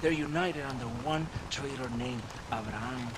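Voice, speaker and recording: A man's voice: mid-range voice, normal speed, noisy background, lightly acted. A man playing a theatrical Mexican army captain in a video game, menacing yet jovial, with a Mexican accent. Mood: scheming. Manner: theatrical, swinging from mock threat to lament. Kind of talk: video game character acting.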